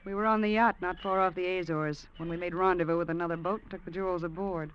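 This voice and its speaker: A woman's voice: on the low side, fairly even intonation, fast, lightly acted. An actress playing a greedy, scheming gangster's girlfriend in an old radio drama, taunting and insistent. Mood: knowing, sly. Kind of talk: 1940s-50s radio drama acting. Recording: muffled vintage radio recording, background hiss.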